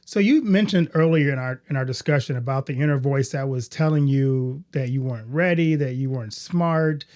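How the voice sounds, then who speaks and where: A male host asks thoughtful, measured interview questions. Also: mid-range voice, darkish tone. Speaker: podcast host.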